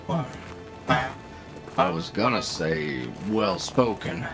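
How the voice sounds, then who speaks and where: A man's voice: lowish voice, big pitch swings, takes it slowly, gravelly, exaggerated voice. A man playing a laconic, dry-witted fighter in a fantasy audio drama. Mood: amused, teasing. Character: laid-back, dry-witted male fighter of a fantasy crew. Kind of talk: fantasy audio roleplay acting. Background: music.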